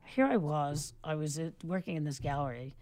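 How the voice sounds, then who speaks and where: A middle-aged woman with a notably low voice speaks as a guest in a relaxed interview. She rambles through her stories with frequent restarts and fillers. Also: normal speed. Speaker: guest, photographer and filmmaker. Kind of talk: relaxed conversational storytelling.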